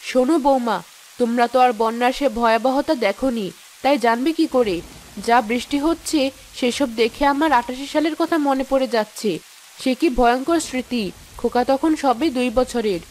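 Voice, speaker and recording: A woman's voice: sits high, moderate pace, darkish tone, slight background noise, rough recording. A woman voicing a stern mother-in-law in a storybook cartoon, scolding and old-fashioned. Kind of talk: cartoon voice acting.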